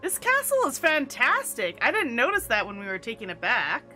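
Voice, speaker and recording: Female streamer, casual playful commentary, bright, a bit high. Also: wildly swooping pitch, moderate pace, faint music behind. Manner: chatty, playful, laughs often. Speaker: game streamer.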